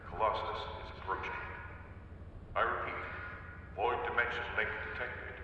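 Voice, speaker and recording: Heavily echoing robotic warning system. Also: male voice, lowish voice, steady tone, normal speed, bright, forceful tone, a little hiss or hum. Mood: impersonal alarm. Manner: clipped, repetitive announcement. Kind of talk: scripted game alert. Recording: dull, far-off, heavily echoing hall.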